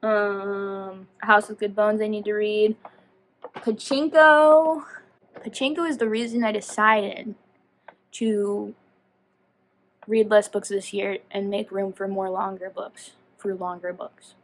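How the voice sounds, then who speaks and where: A woman's voice: medium pitch, steady tone, slow, a little hiss or hum, poor audio. A woman chatting casually to viewers as a book vlogger, rambling and self-deprecating as she goes off on tangents. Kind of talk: casual chatty video talk.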